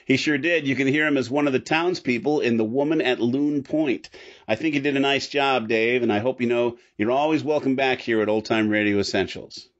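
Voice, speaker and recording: A man's voice: medium pitch, a little fast. A man hosting a podcast, chatting casually. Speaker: podcast host. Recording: slightly dull, lo-fi recording.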